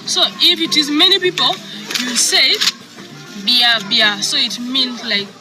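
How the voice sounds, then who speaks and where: Woman chatting to her followers, a bit high voice, music playing underneath. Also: expressive, a little slow, rough recording. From South Sudan. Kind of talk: casual vlog talk.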